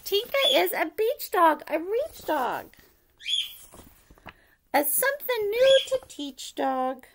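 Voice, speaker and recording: A middle-aged woman reads a rhyming picture book aloud to young children in an expressive sing-song way, pausing to ask them questions. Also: extremely high pitch, creaky, noisy background. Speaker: preschool story-time teacher reading aloud. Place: living room at home, indoors.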